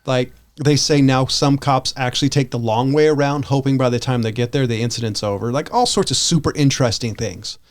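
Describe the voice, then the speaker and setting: A man's voice: mid-range voice, fairly quick, few pauses. A man co-hosting a podcast in casual chat, rambling along in an informal, enthusiastic way. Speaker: podcast co-host.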